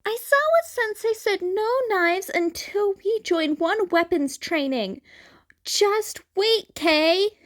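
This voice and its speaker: A woman's voice: very high voice, animated, slow pace, pitched above the performer's own voice, exaggerated voice. A woman voicing a playful, impulsive teenage boy training as a hero in a story reading. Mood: chiding.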